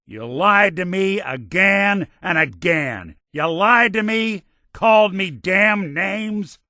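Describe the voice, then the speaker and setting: An actor playing a weary, wry male synth detective in a game, with a dry, world-weary noir drawl that turns gruff in anger. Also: high-pitched, expressive, slow pace, pushed and bright, dry recording, full character voice. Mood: angry. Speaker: companion character. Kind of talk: game voice lines. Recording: dry studio recording.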